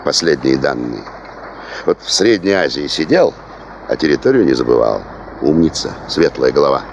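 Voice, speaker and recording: An actor playing a high-ranking official in a radio play, wry and teasing, with a grandiose ironic manner. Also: male voice, lively intonation, moderate pace, slight background noise. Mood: frank, wry. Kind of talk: Soviet radio play acting.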